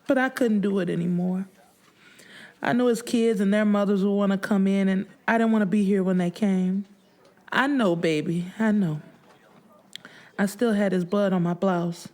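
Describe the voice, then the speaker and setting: A woman's voice: medium pitch, fairly even intonation, normal speed, warm tone. Sorrowful woman narrating an audiobook. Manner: emotive, sorrowful storytelling. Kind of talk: dramatic first-person fiction narration.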